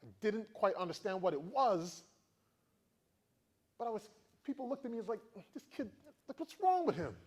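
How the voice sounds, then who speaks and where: A man in his forties from the Virgin Islands, giving a motivational talk to students with animated storytelling and questions for the crowd. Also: high voice, normal speed, long pauses, slightly rough. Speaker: motivational speaker.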